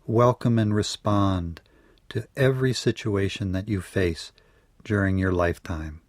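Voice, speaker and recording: A man leading a guided yoga nidra meditation in a measured, soothing way, letting his phrases trail off softly. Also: fairly low pitch, dry recording, clean studio sound.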